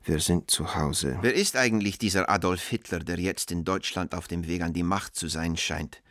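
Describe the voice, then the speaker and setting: Radio drama: affectionate, self-mocking dealer in his forties, deep, dry-recorded, reflective letter reading. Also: moderate pace, a bit bright, dry recording. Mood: tender, then uneasy, affectionate. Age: 40s.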